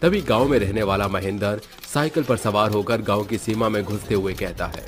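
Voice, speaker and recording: A man narrating a spooky cartoon story in a steady, dramatic scripted style. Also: lowish voice, brisk.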